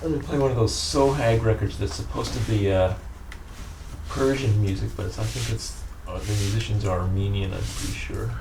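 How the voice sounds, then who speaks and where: A man in a casual, halting chat about old records, as a guest record collector. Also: on the low side, steady tone, vocal fry, darkish tone, low-quality recording.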